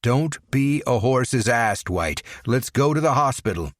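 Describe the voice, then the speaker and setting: A man's voice: medium pitch, expressive, measured pace, no room sound, clean studio sound, voice pushed up, close to an everyday voice. A man voicing an older father pleading with his dangerous son.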